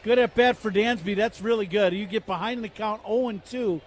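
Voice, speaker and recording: High-voiced male baseball color commentator. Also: normal speed, slight background noise. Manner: conversational, analytical, emphatic.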